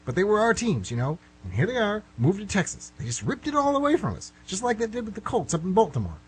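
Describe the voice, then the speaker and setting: A man's voice: high-pitched, heavily acted, low-quality recording. A man acting a flustered, middle-aged guy who nervously rambles and corrects himself in an amateur radio drama. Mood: rambling, exasperated. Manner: nervous, halting, self-correcting, excitable. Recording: slightly rough amateur radio recording.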